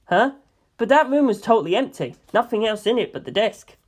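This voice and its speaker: A woman's voice: on the high side, big pitch swings, a little fast, husky. A woman voicing an inquisitive, easily startled young male detective, questioning and hesitant, in a story reading. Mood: curious, startled.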